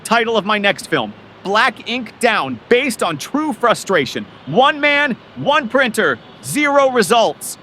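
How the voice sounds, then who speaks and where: A man's voice: sits high, very animated, slow, noisy background, heavily acted. A man voicing a self-important film star puppet in a portentous mock movie trailer delivery. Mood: grandiose. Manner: grandiose, portentous.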